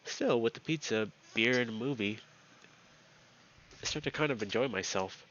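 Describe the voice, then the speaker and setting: A man's voice: mid-range voice, moderate pace. A man reading a visual novel aloud as a let's player, narrating the scenes. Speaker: video game let's player. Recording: dull lo-fi audio under loud guitar music. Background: loud plucked guitar music.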